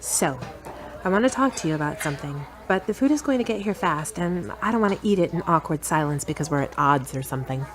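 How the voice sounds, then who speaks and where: An actress playing a self-assured, frank, teasing girlfriend in a radio drama, articulate and measured. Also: deep voice, animated, soft background music. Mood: frank, serious. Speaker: actor in an audio drama. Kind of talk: scripted radio-play dialogue.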